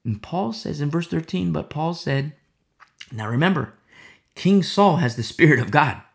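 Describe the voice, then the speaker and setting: A man teaching a Bible study sermon in a rhetorical style. He keeps asking "you see?" and drops into hushed asides. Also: on the high side, normal speed, vocal fry.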